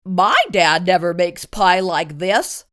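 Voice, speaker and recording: A woman's voice: on the low side, slow, a bit bright, pitched below the performer's own voice. A woman voicing a friendly, curious young neighbor boy from a storybook, speaking simply. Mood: impressed.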